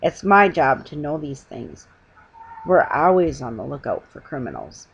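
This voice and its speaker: A woman's voice: low-pitched, very animated, unhurried, lo-fi sound, a little hiss or hum, exaggerated voice. A woman voicing a stern male store detective from a storybook, blunt and accusing. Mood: officious.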